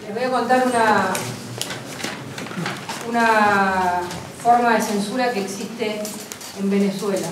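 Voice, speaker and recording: A woman explaining herself at a press conference, in a measured way with many hesitations. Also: medium pitch, steady tone. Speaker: opposition deputy. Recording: echoey hall, far from the mic, noisy.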